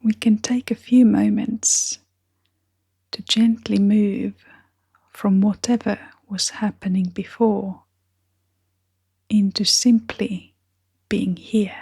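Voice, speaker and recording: A woman leading a guided meditation in a gentle, breathy, whispery and creaky voice, speaking in short phrases with long, unhurried pauses. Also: medium pitch, steady tone, no echo, clean studio sound.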